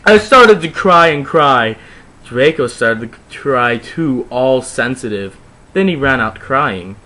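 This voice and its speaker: A man's voice: mid-range voice, animated, slow, pushed and bright. A man doing a wry, theatrical dramatic reading of a comically bad story, with mocking asides as narrator. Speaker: narrator reading fan fiction aloud.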